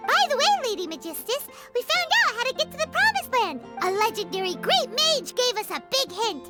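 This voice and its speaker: A woman voicing a tiny, childlike fairy guide in an anime video game, chirpy, bossy and excitable with a squeaky voice. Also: brisk. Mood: excited. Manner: refers to self in third person. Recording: background music throughout.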